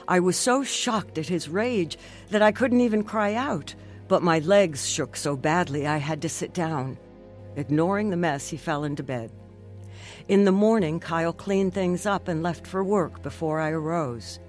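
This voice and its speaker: A woman's voice: sits low, normal speed, darkish tone, natural voice. A determined woman tells her own story as played by an actress in a radio drama. Her first-person narration is scripted and reflective, with faint music underneath. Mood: shaken. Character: determined woman recounting her recovery from a stroke.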